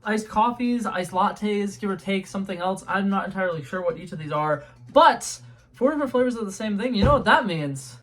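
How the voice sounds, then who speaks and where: A young man with a fairly high voice chats his way through a taste test from his room at home. He rambles, restarts his sentences and fills the gaps with ums, in a loose and casual vlog manner. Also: lively intonation, a little fast, a bit bright. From New England. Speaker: YouTube host. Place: home room with a color-changing globe light.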